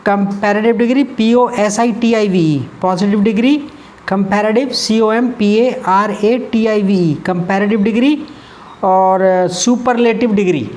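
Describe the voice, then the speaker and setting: A man with an Indian English accent teaching a grammar lesson in Hindi. He talks patiently, repeats the key terms and asks quick questions. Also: sits high.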